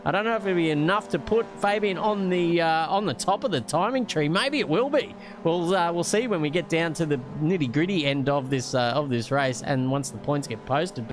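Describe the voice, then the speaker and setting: A man's voice: on the high side, normal speed, hardly any pauses. An Australian man co-commentating a sim race in a rambling, slangy way with chuckles. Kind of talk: laid-back race commentary.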